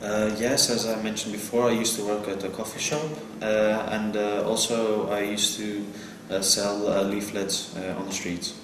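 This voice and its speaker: A man's voice: on the low side, flat delivery, moderate pace, close to an everyday voice. A teenage boy playing an earnest job applicant in a school role-play, speaking hesitantly with restarts. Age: teenage student. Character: earnest young male advertising job applicant. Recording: echoey room with clear noise.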